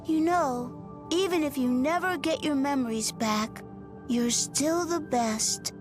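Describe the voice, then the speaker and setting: Casting: cute childlike game spirit, very casual and babyish, high-pitched, sweetly simple. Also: female voice, slow pace, music in the background. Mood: gentle, affectionate. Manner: babyish, folksy, casual phrasing. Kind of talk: video game voice acting.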